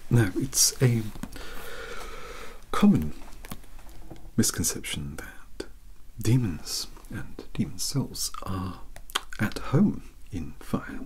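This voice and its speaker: A man voicing a bookish, awkward young British mentor to vampire hunters in a soft-spoken ASMR roleplay, rambling and hesitant in a breathy half-whisper. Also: fairly low pitch, heavily acted, low-quality recording. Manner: hesitant, rambling, politely self-doubting.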